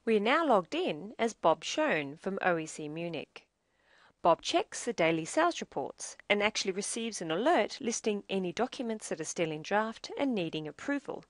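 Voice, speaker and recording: A woman narrating a scripted software tutorial as demo presenter, in a measured, explanatory way. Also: medium pitch, big pitch swings, dry recording, clean studio sound.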